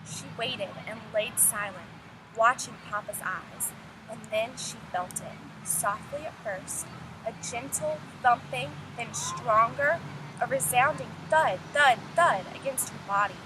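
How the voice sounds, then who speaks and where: Noisy outdoor recording, wind and traffic, woman teacher, higher-pitched voice, storybook read-aloud for children, prompting young listeners. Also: a little slow. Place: outdoors in the open.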